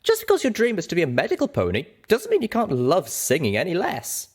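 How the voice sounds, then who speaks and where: Male reader as young pony girl, high voice, plucky, earnest, animated storybook delivery. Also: fairly quick, exaggerated voice. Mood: reassuring. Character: small young mare, earnest, caring, plucky heroine.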